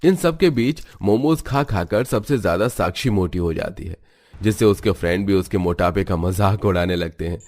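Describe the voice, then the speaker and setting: Male narrator, fairly low pitch, scripted cartoon storytelling. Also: normal speed, a little mellow.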